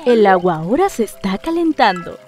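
A woman voicing a bossy, excitable cartoon animal, giving declarative rhyming lines in a children's show. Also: medium pitch, normal speed, over loud music, close to an everyday voice. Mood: confident. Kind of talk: sing-song rhyming children's cartoon acting.

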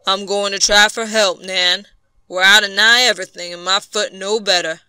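Woman doing a hurt backwoods husband, drawl, determined, crisp bright voice. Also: mid-range voice, fairly even intonation, moderate pace, dry recording. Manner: backwoods drawl, dropped g's.

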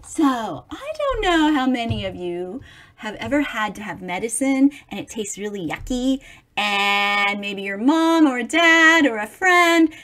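A middle-aged woman reads a picture book aloud to children at an unhurried pace. Her voice sits high and swings widely in pitch. She is expressive and adds chatty asides to explain things. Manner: expressive, animated, explaining to young listeners. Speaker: storybook reader for children.

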